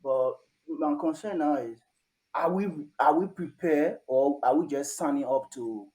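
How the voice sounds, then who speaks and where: A man from Liberia speaking at an online youth summit, halting and full of fillers, over a video call. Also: fairly high pitch, a little mellow, poor audio. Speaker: summit participant. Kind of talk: casual online discussion.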